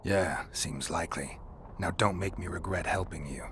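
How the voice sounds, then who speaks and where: An actor playing a stoic monster hunter in a video game, with an American accent and a gravelly, deadpan, terse delivery. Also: male voice, moderate pace, slight background noise. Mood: dry, probing. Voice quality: low gravelly growl, breathy at phrase ends. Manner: deadpan, terse, dryly sardonic. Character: gruff, stoic male monster hunter for hire, dry and blunt.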